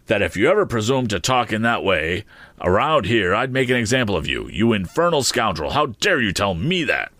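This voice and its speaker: A man's voice: on the low side, sing-song, a little fast, a bit bright, dry recording. A man doing a curt, stern lawyer. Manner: curt, severe. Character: stern, domineering middle-aged male lawyer.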